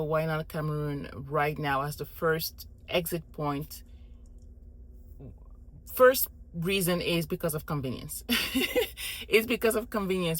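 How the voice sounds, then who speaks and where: A woman's voice: deep voice, a bit bright. A woman in her thirties, born in Morocco, explaining her family's decisions in a thoughtful, methodical way to camera. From born in Morocco, Congolese heritage. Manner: thoughtful, methodical, frequent restarts and listing. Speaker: family relocation vlogger. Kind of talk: explanatory casual talk to camera.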